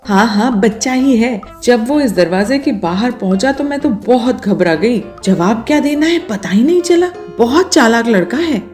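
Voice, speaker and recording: A woman's voice: fairly high pitch, lively intonation, moderate pace, few pauses, a little mellow, soft background music, natural voice. A woman playing a worried, disapproving village wife and reluctant accomplice in a comic story. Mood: anxious. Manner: worried, stammering when cornered.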